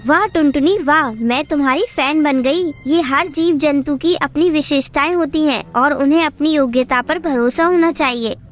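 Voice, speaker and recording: A woman voicing a gentle, wise female golden fish in a children's cartoon story, kindly and reassuring. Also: high voice, normal speed, few pauses, pitched below the performer's own voice, lightly acted. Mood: admiring.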